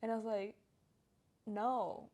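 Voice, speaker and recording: A young woman with a Colombian accent chatting casually as a podcast co-host, animated and expressive, with lots of "like". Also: a little high, slow pace. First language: Spanish. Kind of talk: casual chat, personal anecdotes.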